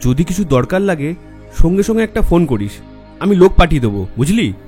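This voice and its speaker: An actor playing a caring, nagging young bridegroom friend in a horror audio drama. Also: male voice, on the high side, sing-song, fairly quick, darkish tone. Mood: worried, cautioning. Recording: music and faint crowd behind. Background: music, faint crowd murmur.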